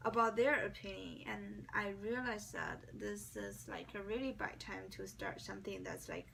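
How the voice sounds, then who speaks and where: A woman's voice: medium pitch, moderate pace, vocal fry, a bit bright. A young woman from China with a Chinese accent, a non-native English speaker answering mock job interview questions with many restarts and fillers. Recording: distant mic, faint background noise.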